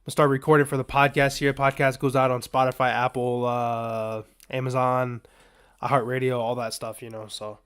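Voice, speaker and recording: Casual male podcast host, slightly raspy voice. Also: mid-range voice, flat delivery, moderate pace, a bit bright. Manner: rambling, loose, filler-heavy asides. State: under the weather, coughing. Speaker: sports betting podcast host. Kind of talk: casual unscripted betting picks talk.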